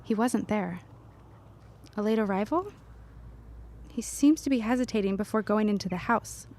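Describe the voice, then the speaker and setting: A woman's voice: medium pitch, normal speed, a little mellow, close to an everyday voice. A woman playing a playful, witty, observant young woman in an audio drama. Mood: curious.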